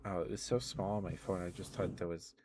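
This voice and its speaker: A man hosting an ASMR livestream, chatting casually and playfully with viewers in a halting way. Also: deep voice, steady tone, noisy background. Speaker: livestream host.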